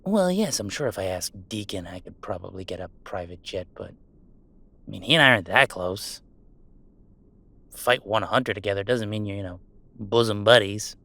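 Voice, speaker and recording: A man's voice: on the low side, lively intonation, moderate pace, pushed and bright, no room sound. Man playing wisecracking werewolf streamer, dry close sound. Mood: sincere, modest. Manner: playful teasing banter with dramatic sarcasm. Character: wisecracking young male werewolf streamer, affectionate and self-deprecating. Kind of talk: one-sided roleplay audio drama.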